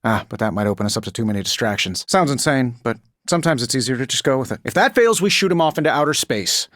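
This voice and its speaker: An actor voicing a cocky, wisecracking genius inventor superhero in a video game, with an American accent and a sarcastic, glib delivery. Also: male voice, medium pitch, big pitch swings, fast talker, a bit bright, no room sound, clean studio sound. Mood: dry sarcasm. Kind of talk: video game character voice lines.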